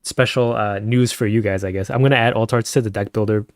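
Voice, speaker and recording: A man chatting casually with viewers on a live stream, speaking softly and keeping his voice down at night at home, and laughing often. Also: steady tone, fast talker, no room sound, studio quality. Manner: soft-spoken, keeps voice low at night.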